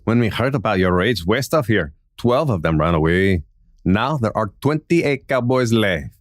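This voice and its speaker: A man's voice: fairly low pitch, animated, normal speed, no echo, clean studio sound, close to an everyday voice. A man playing a coolly obedient Mexican outlaw informant in a Western radio drama. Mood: matter-of-fact.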